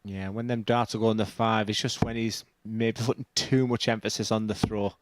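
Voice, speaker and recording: Dutch guy doing darts commentary, lower voice, breaks down every throw. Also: little pitch movement, moderate pace, a little hiss or hum. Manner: analytical, plain-spoken. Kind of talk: live sports commentary.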